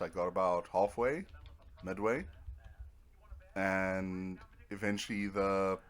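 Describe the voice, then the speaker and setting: A man's voice: deep voice, a bit bright. A man giving casual, rambling commentary over a video game, with a dry, self-deprecating sense of humor. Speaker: video game let's player.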